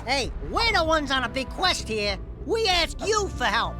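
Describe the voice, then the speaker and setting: A man's voice: very high voice, sing-song, unhurried, full character voice. A man voicing a wisecracking small furry male animal sidekick in a video game, full of sarcastic, mocking quips. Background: game music.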